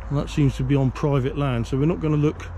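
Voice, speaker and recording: A man's voice: mid-range voice, steady tone, fast talker, warm tone, slight background noise. A man giving a casual exploring commentary, musing and putting questions to himself as he goes. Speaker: presenter of a canal exploring series. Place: outdoors by a canal bridge and road.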